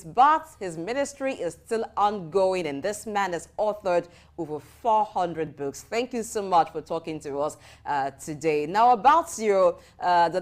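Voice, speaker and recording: Woman TV host, low voice. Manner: polite, formal sign-offs. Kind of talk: broadcast interview hosting.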